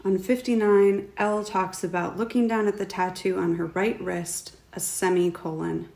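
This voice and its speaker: A woman's voice: medium pitch, steady tone, normal speed, gravelly, a little mellow. A woman speaks as an author presenting trivia to viewers. Her voice sits in an ordinary middle range, and her delivery is a prepared, explanatory talk.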